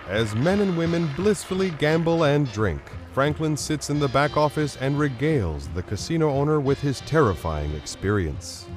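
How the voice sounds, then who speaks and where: A man narrates a pulp adventure serial with scripted drama. His medium-pitched voice rises and falls widely. Music plays clearly behind him.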